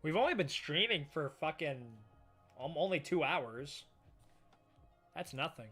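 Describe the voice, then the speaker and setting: A man live-streaming a casual, excitable reaction read-through, swearing freely as he chats with viewers. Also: a little high, a little slow, soft background music. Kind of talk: casual livestream reaction chat while reading manga.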